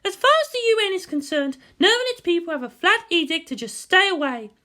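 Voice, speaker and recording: A woman's voice: extremely high pitch, sing-song, a little fast, bright, forceful tone, voice pushed up, full character voice. A woman voicing an exasperated but protective military guardian, emphatic and wearily authoritative, in a story reading. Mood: irritated, firm.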